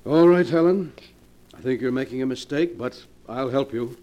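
An actor playing a loyal, protective attorney in 1940s radio style, earnest, firm and formal. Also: male voice, medium pitch, moderate pace, darkish tone, lo-fi sound, lightly acted. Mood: concerned.

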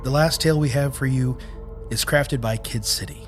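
A man's voice: medium pitch, moderate pace, soft background music. A man hosting a radio program, reading a scripted introduction.

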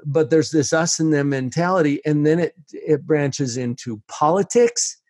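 A man's voice: fairly high pitch, normal speed, a little mellow, no room sound, studio quality. A male host asking long, framing questions in a conversational way. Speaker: interviewer, host. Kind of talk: interview questions.

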